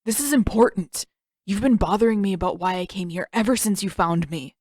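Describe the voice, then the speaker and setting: A woman's voice: mid-range voice, a little fast, a little mellow, no room sound, close to an everyday voice. A sullen teenage prince, as a woman narrator acts him out, proud and short on patience. He speaks curtly in a storybook read with a very dry sound. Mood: frustrated, insistent.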